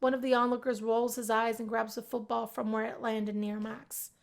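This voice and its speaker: A woman reading first-person visual novel narration aloud as an expressive storyteller. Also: on the high side, normal speed, creaky. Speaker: gaming video host, narrator.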